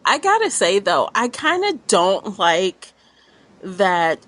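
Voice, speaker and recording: A woman talking casually as a panelist in a podcast book discussion, with an animated, exclamatory and slangy way of speaking. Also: medium pitch, takes it slowly.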